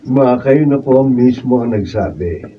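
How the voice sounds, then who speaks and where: A man's voice: mid-range voice, lively intonation, unhurried, lightly acted. A Filipino man acting an evasive, dismissive cheating husband in a radio drama. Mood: dismissive, irritated. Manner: defensive, dismissive. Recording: muffled distant mic, faint noise.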